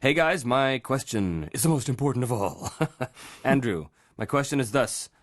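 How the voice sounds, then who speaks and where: A Canadian man hosts a casual podcast. His pitch swings widely as he chats and reads out questions, and his manner is jokey and animated. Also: normal speed. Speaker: podcast host, voice actor. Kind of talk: casual chat, reading listener questions.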